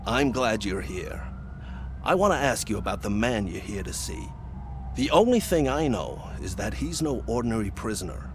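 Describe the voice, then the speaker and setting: An actor playing a wary, self-assured prison warden in a video game, speaking in a deliberate, conspiratorial manner. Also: male voice, fairly low pitch, big pitch swings, a little hiss or hum. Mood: wary, suspicious. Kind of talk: video game cutscene acting.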